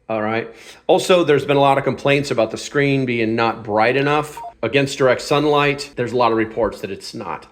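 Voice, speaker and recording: A man hosting a casual hands-on product review, speaking in a blunt, informal, opinionated way. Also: mid-range voice. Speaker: product reviewer and channel host.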